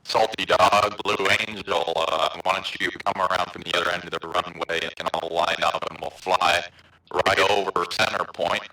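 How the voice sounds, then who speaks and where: A man's voice: sits low, flat delivery, normal speed, pushed and bright. A man talking casually as an airshow pilot over game radio. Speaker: amateur airshow pilot in online flight simulator. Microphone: online voice chat. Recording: roomy, distant radio-like voice chat.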